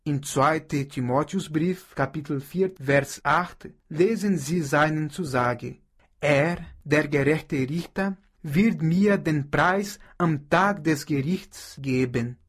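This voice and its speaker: A Brazilian pastor speaks with a slightly raised pitch and a husky, breathy edge. He preaches in a calm, unhurried radio devotional, his Brazilian Portuguese accent shaping every word. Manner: slow, measured preaching with prayer.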